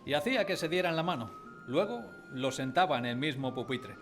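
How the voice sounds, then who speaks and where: A man playing a narrator who fondly recalls his village schooldays, in expressive scripted radio drama storytelling. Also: a little high, brisk, soft background music. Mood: reminiscent.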